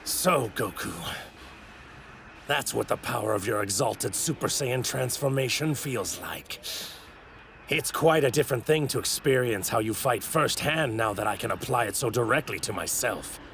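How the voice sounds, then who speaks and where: A man's voice: medium pitch, expressive, normal speed, fairly bright tone, slight background noise. A man playing an arrogant godlike villain in an English anime dub, with pompous, theatrical, formal delivery. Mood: musing, hungry. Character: arrogant godlike male villain in a stolen warrior body.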